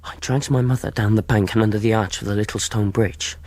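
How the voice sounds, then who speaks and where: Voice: medium pitch, steady tone, quick pace, a little mellow, natural voice. An actor playing a brave, eager boy at a seaside inn in a radio drama. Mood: hushed narration. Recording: noisy radio drama with clicks.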